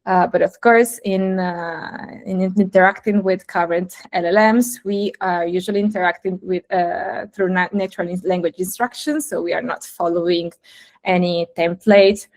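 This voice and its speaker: A woman's voice: on the low side, fairly even intonation, normal speed. A young woman, a native Italian speaker, giving a technical talk over a video call, hesitant with many fillers and restarts. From Italy. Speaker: guest speaker.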